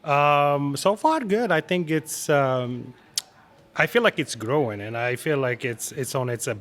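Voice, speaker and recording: A man's voice: mid-range voice, expressive, unhurried, fairly bright tone. A man from Morocco, a restaurant director, chatting earnestly on a podcast with many fillers and restarts. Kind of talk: casual podcast chat.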